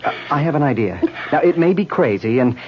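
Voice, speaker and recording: A man's voice: mid-range voice, animated, fairly quick, a little hiss or hum, lightly acted. An actor playing a worried, determined man who questions earnestly and insistently, in a 1970s radio drama. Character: worried, determined man protecting his wife. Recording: dull lo-fi old radio recording.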